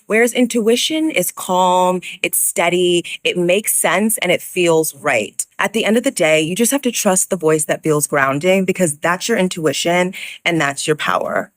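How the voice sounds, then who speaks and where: A woman's voice: lowish voice, normal speed, no room sound. A woman giving earnest, reflective advice in a conversational monologue. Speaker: advice creator.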